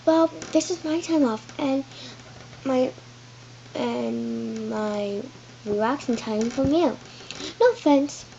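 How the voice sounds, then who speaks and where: A woman voicing a spirited, sharp-tongued young princess who talks back to her husband, in a homemade storybook reading. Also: on the high side, slow, vocal fry, long pauses. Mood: indignant. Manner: indignant, talking back. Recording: dull lo-fi with faint hiss.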